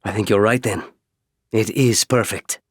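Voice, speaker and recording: A man voicing a wry, good-natured dropship pilot with expressive, sarcastic delivery in an audiobook. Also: lowish voice, unhurried, husky, dry recording, studio quality. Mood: warm, amused. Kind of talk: audiobook character voice.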